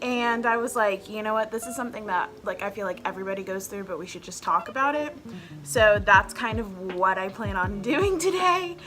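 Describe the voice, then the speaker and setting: A woman in her twenties chatting casually to camera at home as a vlogger host, rambling and filling her talk with "like". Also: on the high side. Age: 20s. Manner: chatty, rambling, heavy use of "like" fillers.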